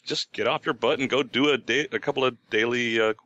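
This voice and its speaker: A man's voice: mid-range voice, steady tone, a little fast, pushed and bright, no echo, lo-fi sound. A man chatting casually on a podcast roundtable, rambling with frequent restarts and a joking edge. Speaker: podcast guest writer.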